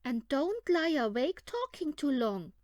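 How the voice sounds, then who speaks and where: A woman playing a brisk mother rabbit giving bedtime orders in a children's storybook. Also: high voice, sing-song, darkish tone, no room sound, clean studio sound, voice pushed up.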